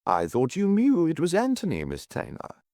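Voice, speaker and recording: A man's voice: on the high side, wildly swooping pitch, moderate pace, warm tone, no room sound, pitched above the performer's own voice. A man voicing a breezy, wry young adventurer working as a tour guide, with a dry, teasing manner.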